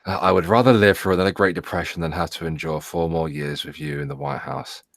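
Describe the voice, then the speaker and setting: A man co-hosting a casual chat, blunt and quick to exasperated outbursts. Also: low voice, expressive, creaky, no echo. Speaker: podcast co-host.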